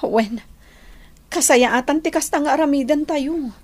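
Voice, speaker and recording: An actress playing a poor, devout and patient housewife in an Ilocano radio drama. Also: female voice, sits high, unhurried, a little mellow. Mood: resigned worry.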